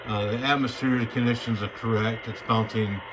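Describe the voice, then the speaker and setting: A man's voice: mid-range voice, steady tone, fairly quick, background noise. A man giving a casual hands-on demonstration in a folksy, colloquial, rambling way. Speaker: radio hobbyist demonstrating equipment.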